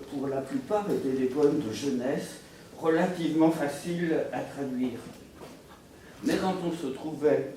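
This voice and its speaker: A man speaking deliberately and haltingly as a panelist at a round table on literary translation. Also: medium pitch, warm tone. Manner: deliberate, halting, pausing mid-sentence. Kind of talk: spontaneous round-table talk. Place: reverberant room at a round-table event. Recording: echoey room, distant mic, faint noise.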